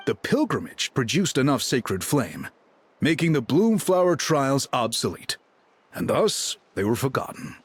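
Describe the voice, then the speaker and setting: A man's voice: medium pitch, very animated, unhurried, breathy edge. An actor playing a composed male chief explaining history in a video game. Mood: explanatory.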